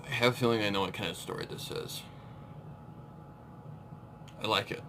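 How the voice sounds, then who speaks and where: A man's voice: fairly low pitch, moderate pace, noisy background. A man giving casual, off-the-cuff commentary as he reacts to an audio story. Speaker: reaction video host.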